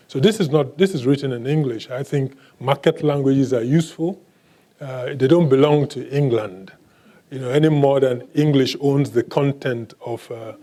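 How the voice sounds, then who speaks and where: A man whose first language is Igbo, answering questions at a book talk in a mellow, dark-toned voice. He speaks slowly and haltingly, with many restarts. Now and then he adds a bit of dry, self-mocking humor. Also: medium pitch. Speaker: author.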